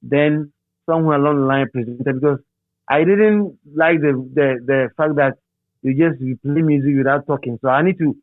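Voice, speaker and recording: A middle-aged Ghanaian man reminiscing as an interview guest in a halting, repetitive, animated storytelling manner. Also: on the high side, no echo. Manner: halting, repeats words and phrases, animated storyteller. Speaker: interview guest, radio DJ and presenter. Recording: thin phone-like sound.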